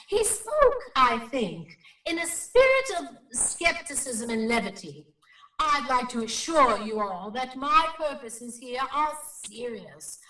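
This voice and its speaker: An actress playing an earnest, solemn paranormal investigator in a radio drama, speaking formally and with portentous foreboding. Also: female voice, high-pitched, sing-song, pushed and bright, far from the mic, exaggerated voice. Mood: earnest, dignified. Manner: formal, grave, portentous, deliberate wording. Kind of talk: melodramatic radio drama acting. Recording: roomy echo, off the microphone.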